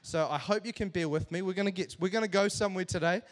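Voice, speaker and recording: High-voiced New Zealand man preaching. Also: fast. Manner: animated, joking, self-deprecating. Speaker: church speaker. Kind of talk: humorous sermon storytelling to a congregation.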